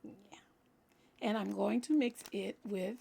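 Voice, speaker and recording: A woman hosting a crafting tutorial in a relaxed, chatty way, explaining each step as she works, her voice scratchy from a cold. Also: medium pitch, slow, vocal fry, husky. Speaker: crafting channel host. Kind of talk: casual crafting tutorial talk.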